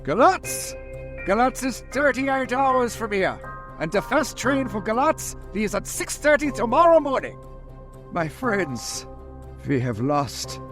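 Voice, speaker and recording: A man's voice: extremely high pitch, very animated, takes it slowly, a bit bright, faint music behind, full character voice. A man playing a commanding, urgent vampire hunter in a theatrical, insistent old-time radio drama style. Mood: dismayed. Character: commanding, urgent male vampire hunter questioning a hypnotized woman.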